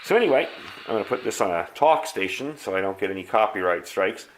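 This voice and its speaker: A man's voice: lowish voice, expressive, fast, a little hiss or hum, poor audio. Casual American man demonstrating gadgets. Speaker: radio hobbyist reviewing gear. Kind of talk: casual product review and demonstration.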